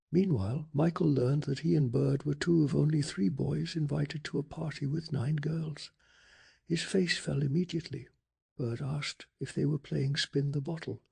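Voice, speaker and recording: A man softly narrating a bedtime story in a hushed, slow and deliberate ASMR style, with a breathy, gravelly voice. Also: fairly high pitch. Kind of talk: soft-spoken ASMR scripted story narration.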